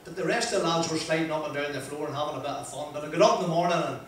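A man's voice: fairly high pitch, slight background noise. An older man with a Northern Irish accent telling self-deprecating stories at a motivational talk, holding a handheld mic in a large wood-panelled room. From Northern Ireland. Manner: chatty, self-deprecating, frequent "uh" pauses and laughs. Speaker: motivational speaker. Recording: echoey hall, voice from a distance.

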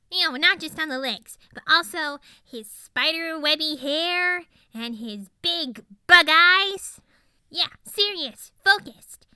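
A woman's voice: sits high, pushed and bright, dry recording, clean studio sound, exaggerated voice. A woman voicing a zany, boastful ten-year-old girl pony in a cartoon monologue, chatty and rambling with melodramatic emphasis. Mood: excited, insistent. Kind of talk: improvised cartoon character monologue.

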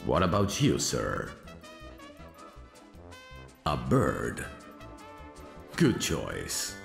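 Man playing a very deep, gravelly suave stage magician. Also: wildly swooping pitch, roomy sound, soft background music, full character voice. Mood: showmanlike. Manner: theatrical, slow, drawn-out delivery. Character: suave male stage magician, cautious, smooth showman. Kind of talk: scripted ASMR audio roleplay.

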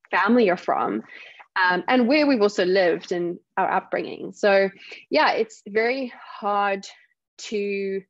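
A woman from South Africa with a softened South African accent, a guest telling stories in a casual interview and acting out what other people say. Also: mid-range voice, dry recording, lo-fi sound. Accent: South African, softened by years in the UK. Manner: animated storytelling, acts out other people's remarks. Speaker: guest speaker.

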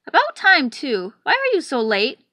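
Exasperated penguin maestro played by a woman reader, very high, emphatic, demanding, storybook style. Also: wildly swooping pitch, pushed and bright, no echo, clean studio sound, full character voice. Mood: exasperated, urgent. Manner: emphatic, exclaiming, drawn-out stresses. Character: exasperated, demanding penguin orchestra conductor, male.

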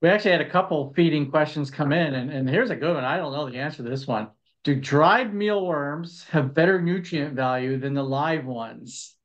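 Host, man, relaxed and joking, muffled lo-fi video call audio. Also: fairly high pitch, normal speed. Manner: relaxed, joking, reads viewer questions. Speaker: host of a bird club webinar. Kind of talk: moderating a panel discussion. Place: home room.

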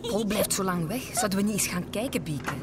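A woman's voice: medium pitch, expressive, a little fast, very noisy, natural voice. A woman playing a worried, fretting passenger with a Flemish accent in a comic-book story. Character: worried, fretting wife on a plane.

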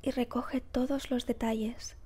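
A woman guiding a meditation in a soft, breathy, whispery voice, calm and gentle, with measured phrases and long pauses. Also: on the high side. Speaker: meditation guide. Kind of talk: slow guided meditation narration.

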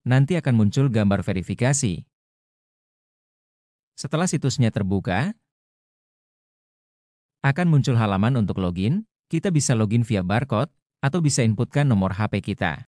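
A man delivers a tutorial voice-over in a dark, soft-edged tone. He explains things methodically, one step at a time. The sound is dry and close to the mic. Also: mid-range voice, animated, normal speed, long pauses, no room sound. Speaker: tutorial host. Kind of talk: instructional screen-recording narration.

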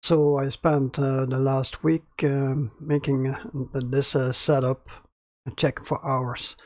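A man's voice: medium pitch, flat delivery, slow, a little mellow, no echo, highs cut off. A man hosting a casual audio tutorial in a small home studio, with a crackly voice and a hesitant way of talking full of fillers and restarts. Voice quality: crackly, gravelly voice. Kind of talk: casual screen-recorded tutorial. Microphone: close studio mic about ten centimeters away.

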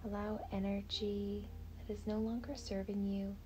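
A woman leading a guided meditation in a soft, breathy, half-whispered voice, gentle, soothing and unhurried. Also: mid-range voice, flat delivery, highs cut off.

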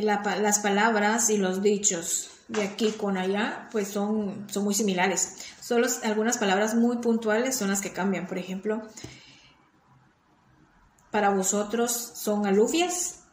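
A woman's voice: mid-range voice, steady tone, unhurried, a little hiss or hum. Guatemalan woman in an echoey room. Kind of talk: casual video message. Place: indoor room.

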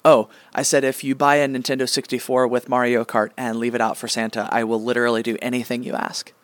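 A man's voice: mid-range voice, little pitch movement, fast talker, no echo, lightly acted. Man playing petulant star god, dry close sound. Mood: bossy. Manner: indignant, peremptory. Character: petulant, bossy star god with childish gamer obsession. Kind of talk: comedic radio play acting.

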